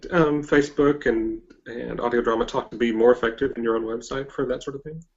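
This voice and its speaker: An interviewer with an androgynous voice, hosting a casual chat and asking questions haltingly. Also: medium pitch, fairly even intonation. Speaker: interviewer, host. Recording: dull, lo-fi internet call.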